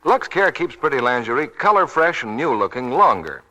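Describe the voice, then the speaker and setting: An American man working as a radio announcer, giving a polished, scripted 1940s sales pitch. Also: very animated, moderate pace, sharp tone, low-quality recording.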